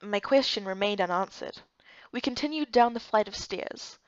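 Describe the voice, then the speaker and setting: A woman's voice: medium pitch, lively intonation, moderate pace, no room sound, lo-fi sound. A woman reading scripted first-person story narration for a game playthrough.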